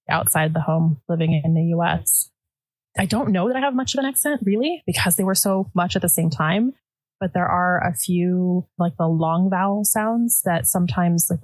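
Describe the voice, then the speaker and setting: Female podcast guest, native Dutch speaker, talkative and relaxed, slightly low voice. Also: no room sound, poor audio. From United States. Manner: chatty, says "like" often. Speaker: podcast guest, quilt pattern designer. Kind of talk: casual interview chat.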